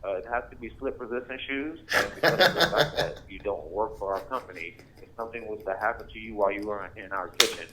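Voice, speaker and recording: A man's voice: on the low side, fast talker, few pauses, background noise. A man working in customer service on the phone, polite and accommodating, repeating himself as he explains. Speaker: restaurant employee taking a phone call.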